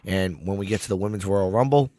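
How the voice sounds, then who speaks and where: A man with a raspy voice co-hosting a podcast, chatting casually with a laid-back, dry way of talking. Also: low-pitched, fast talker, a crowd in the background. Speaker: podcast co-host. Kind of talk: casual chat.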